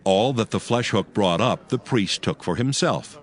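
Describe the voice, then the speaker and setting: A man's voice: lowish voice, animated, moderate pace. A man narrating a dramatized audio Bible from a script, with music behind him. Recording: faint music under the voice.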